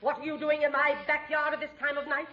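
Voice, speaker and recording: An actress playing a cross, suspicious neighbour with a sharp, scolding manner in a 1940s radio comedy. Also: female voice, high-pitched, level tone, fast, close to an everyday voice. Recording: muffled, noisy old radio recording.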